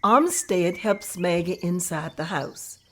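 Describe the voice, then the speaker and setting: Narrator: woman, low and steady, reading scene directions. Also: slow, slight background noise.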